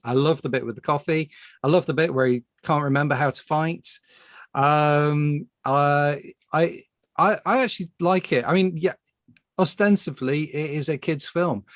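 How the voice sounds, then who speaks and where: Halting, higher-voiced man hosting a podcast. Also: no room sound, clean studio sound. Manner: halting, repeating words as he goes. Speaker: podcast host. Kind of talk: casual film discussion.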